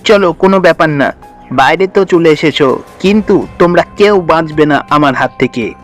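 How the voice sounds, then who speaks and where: Man playing a wicked, commanding sorcerer, raised pitch. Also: moderate pace, faint music behind, natural voice. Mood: threatening. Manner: menacing, commanding. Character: wicked, threatening male sorcerer villain. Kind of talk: Bengali fairy-tale cartoon voice acting.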